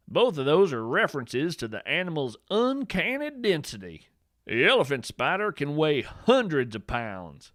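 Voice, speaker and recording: A man narrating scripted fiction as a podcast host, with a measured, deliberate and expressive storytelling delivery. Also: medium pitch, no echo, clean studio sound.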